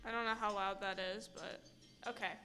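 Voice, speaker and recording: A woman's voice: medium pitch, steady tone, a little fast, pushed and bright, faint music behind. A woman hosting a casual let's play voice-acting session, chatting loosely while setting things up. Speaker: host.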